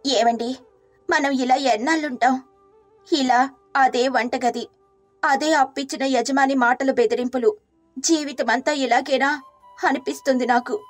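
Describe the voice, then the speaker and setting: A woman narrating a moral story and playing the caring wife, speaking softly and earnestly. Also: high voice, steady tone, measured pace, long pauses, dry recording, soft background music.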